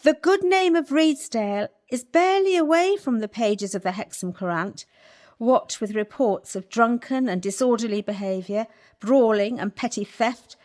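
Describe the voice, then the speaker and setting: A woman's voice: on the high side, normal speed, a little mellow, natural voice. A woman playing a prim Victorian vicar's daughter who has taken charge of a village school, speaking formally and with disapproval, in a radio play. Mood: indignant. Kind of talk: period radio play acting.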